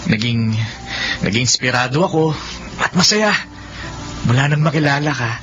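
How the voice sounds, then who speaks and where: A man's voice: mid-range voice, expressive, noisy background, natural voice. Man acting an earnest young suitor, muffled audio. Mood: earnest confessing. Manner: heartfelt, halting delivery. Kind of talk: Filipino radio romance drama acting. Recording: muffled radio drama audio with music.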